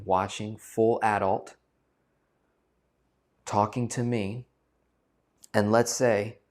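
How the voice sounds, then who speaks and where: Male finance coach, fairly low voice, emphatic, repeats words to drive points home. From United States. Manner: emphatic, repeats phrases for stress. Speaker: finance coach and channel host. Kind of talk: direct-to-camera talk.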